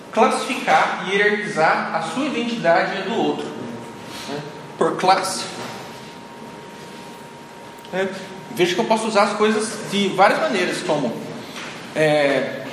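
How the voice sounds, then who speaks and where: A man's voice: high-pitched, fairly bright tone. A man giving a classroom lecture, thinking aloud and tagging his sentences with frequent questions to the listeners. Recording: echoey hall, noisy ambience.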